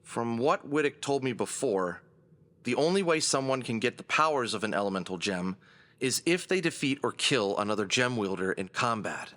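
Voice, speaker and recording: A man playing an earnest adventurer who leads a gang through a grim crisis, briefing them seriously and deliberately in a fantasy audio drama. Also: medium pitch, lively intonation, a bit bright, natural voice. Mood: firm, earnest.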